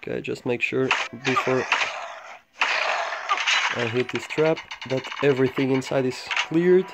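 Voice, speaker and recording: A man giving low-key, casual gameplay commentary, thinking aloud in short fragments as he guides viewers. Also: a little slow, loud music behind the voice. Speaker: gamer making a walkthrough guide. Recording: clearly noisy home recording with clicks.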